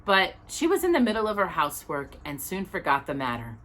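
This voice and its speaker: A woman reads a children's storybook aloud as a storytime host. Her voice is bright and slightly husky, with very lively intonation and dramatic emphasis on key words. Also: lowish voice, brisk. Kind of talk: expressive children's storybook narration.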